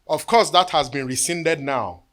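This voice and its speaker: A man's voice: on the high side, measured pace. Earnest bishop in his fifties. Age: 50s. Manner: earnest storytelling, sinking to hushed tones. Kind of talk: sermon with personal testimony.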